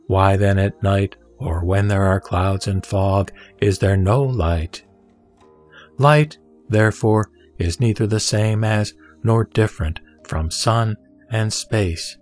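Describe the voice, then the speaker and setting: A man reciting a sutra as a solemn scripted reading, in measured, deliberate phrases with pauses. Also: lowish voice, darkish tone. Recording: background music under the voice. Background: music.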